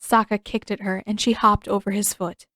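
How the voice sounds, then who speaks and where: A woman narrates a story in an expressive, measured way. Her voice sits at a medium pitch, and the recording is very dry. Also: no room sound. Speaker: audiobook narrator.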